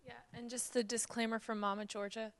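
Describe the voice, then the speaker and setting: A woman's voice: a little high, level tone, a little fast, fairly bright tone. A woman leading a panel discussion, upbeat and encouraging, laughing often.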